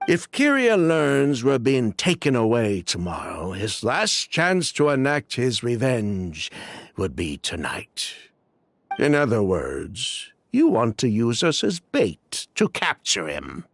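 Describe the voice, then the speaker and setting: Bitter, gravelly criminal mastermind. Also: male voice, mid-range voice, wildly swooping pitch, slow, exaggerated voice. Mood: scornful, vengeful. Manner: theatrical, embittered. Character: bitter, defiant, grief-stricken male criminal mastermind. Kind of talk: video game voice acting. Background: faint music.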